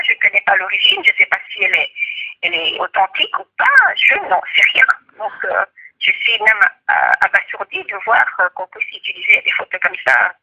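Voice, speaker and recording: A woman's voice: medium pitch, bright, forceful tone, breathy edge, low-quality recording. A woman in her forties from DR Congo, answering interview questions hesitantly with many fillers and indignant denials.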